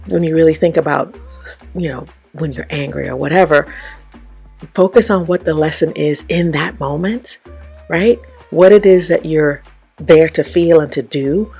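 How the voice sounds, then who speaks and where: Raspy low-voiced woman, chatty coaching. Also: a little mellow. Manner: chatty, frequent "okay?" and "right?" check-ins. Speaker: vlogger and life coach. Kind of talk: casual motivational talk to camera.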